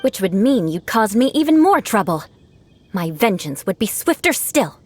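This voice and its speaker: A woman's voice: mid-range voice, sing-song, normal speed, lightly acted. A woman playing a haughty, prickly young noblewoman swordfighter, formal and theatrical, in anime game style. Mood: cool, prickly. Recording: music under the voice.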